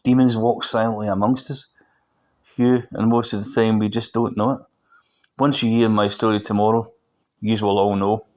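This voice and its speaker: A man's voice: on the low side, level tone, moderate pace, close to an everyday voice. Haunted Glasgow cabbie played by a male reader, hesitant and earnest, audiobook dialogue. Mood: ominous. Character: frightened, haunted Glaswegian cab driver, male.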